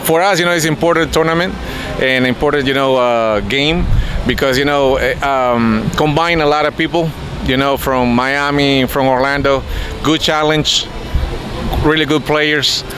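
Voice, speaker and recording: A man, a fan in a casual street interview, who talks haltingly with many "you know"s. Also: mid-range voice. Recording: outdoor traffic noise.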